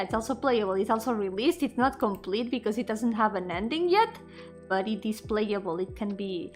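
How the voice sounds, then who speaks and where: A woman's voice: on the high side, fairly bright tone. A woman chatting casually on a livestream, effusive and giggly, often repeating words and trailing off. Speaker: VTuber streamer and indie game developer. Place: indoor solo livestream with music behind the voice.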